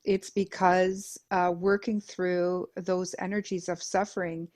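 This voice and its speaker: A middle-aged woman from Denmark talks earnestly as an interview guest, her voice sitting a bit low. She builds long lists of repeated phrases, with pauses and fillers along the way. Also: little pitch movement, darkish tone. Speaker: guest, Reiki master and life coach. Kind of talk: reflective personal interview.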